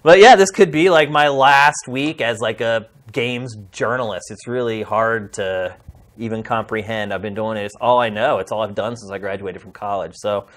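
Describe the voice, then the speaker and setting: A man's voice: on the low side, normal speed, fairly bright tone. A man hosting a games podcast in a studio, talking in a heartfelt, pleading, conversational way. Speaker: podcast host and games journalist. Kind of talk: earnest podcast sign-off.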